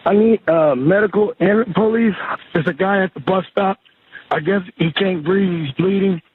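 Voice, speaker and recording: A man playing a rattled store worker on an emergency phone call, halting and agitated, with a rough voice through a phone line. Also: high voice, dry recording. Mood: shaken, urgent. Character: rattled male convenience store worker calling for help. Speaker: emergency caller.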